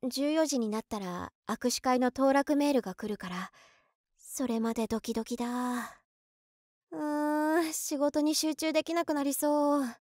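A woman's voice: high-pitched, moderate pace, darkish tone, no echo, studio quality, natural voice. A woman playing an overworked, emotional office worker in her early twenties, talking to herself in an audio drama monologue. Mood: determined, nervous.

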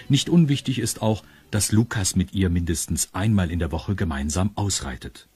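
A man's voice: deep voice, a little mellow, slightly rough, dry recording. A man narrating a children's audio drama in a scripted storytelling style. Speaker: narrator of a children's radio play.

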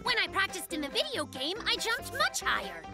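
A performer voicing a cocky, excitable young male bear cub in a children's cartoon, boastful and theatrical in manner. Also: very high voice, a little fast, full character voice. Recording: music bed behind the voice.

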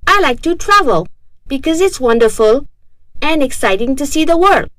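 Woman reading a lesson dialogue, high voice, very dry close sound. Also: sing-song, moderate pace, a bit bright, no echo. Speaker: dialogue reader.

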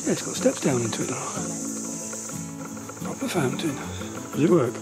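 A man's voice: fairly high pitch, very animated, moderate pace, loud music behind the voice. A middle-aged man making dry, matter-of-fact remarks as a sailing vlogger outdoors. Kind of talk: casual travel vlog chat. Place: outdoors on a sailboat and hillside paths.

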